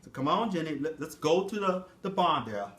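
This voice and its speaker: A man's voice: on the high side, lively intonation, brisk, a bit bright. A man doing a pleading, stammering plantation master. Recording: echoey room.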